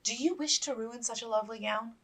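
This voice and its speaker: A woman voicing a sensible, proper young gentlewoman and elder sister in a period story, firm and exasperated in manner. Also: on the high side, a little fast, voice pushed up. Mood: exasperated scolding.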